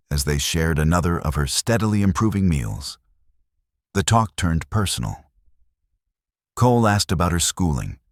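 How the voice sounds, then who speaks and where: A man narrating a romantic western story in a measured, earnest way. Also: extremely low pitch, no room sound, studio quality. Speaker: audiobook narrator.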